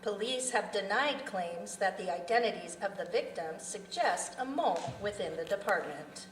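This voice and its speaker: A woman's voice: mid-range voice, animated, normal speed, natural voice. A woman playing a serious TV newscaster at a live table read, with formal delivery. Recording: roomy, distant mic, faint noise.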